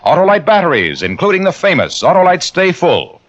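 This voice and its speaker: A male radio announcer who introduces the star and reads the sponsor's commercial in a smooth, salesman-like way. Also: high voice, wildly swooping pitch, brisk, a bit bright. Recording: dull old radio broadcast.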